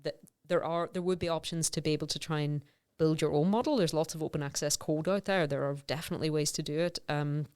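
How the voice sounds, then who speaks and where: A woman's voice: low-pitched, steady tone, fast, few pauses, a little mellow, smooth. A middle-aged woman researcher, a podcast guest, talking things through thoughtfully with lots of fillers and restarts. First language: English. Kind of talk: conversational interview.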